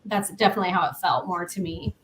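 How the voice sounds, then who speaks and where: A young woman from Iowa, a guest in a casual interview, friendly and quick to laugh. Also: on the low side, a bit bright, a little hiss or hum.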